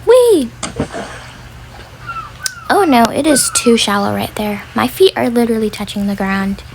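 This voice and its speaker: A woman voicing a whiny, excitable young child in an amateur family beach role play. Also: a little high, unhurried, vocal fry. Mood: excited, playful. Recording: noisy home recording with clicks.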